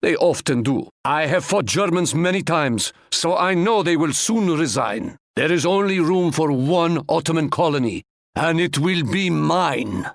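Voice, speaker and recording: A man's voice: a little high, animated, no room sound, clean studio sound. An actor voicing a proud, stately Ottoman sultan in a video game, speaking formally and quoting proverbs. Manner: formal, measured, fond of proverbs.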